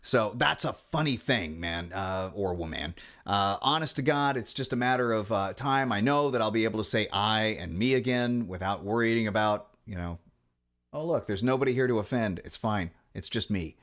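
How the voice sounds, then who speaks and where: A man's voice: lowish voice, moderate pace, a bit bright. A middle-aged man vlogging casually about his guitar channel, rambling and wry, with many fillers and restarts.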